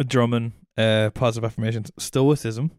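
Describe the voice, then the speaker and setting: An Irish podcast co-host chats casually with a mid-pitched voice in a dry recording. The talk is loose banter, full of teasing and swearing. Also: steady tone, moderate pace, a little mellow, clean tone, no room sound.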